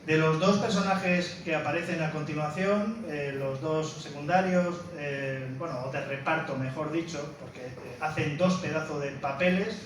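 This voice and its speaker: A man's voice: a little high, normal speed, hardly any pauses. A man giving an informal, explanatory talk as a film presenter, often stressing points and seeking agreement. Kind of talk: informal spoken lecture introducing a film. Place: indoor room, presenting to an audience. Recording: very echoey room, distant mic, faint noise.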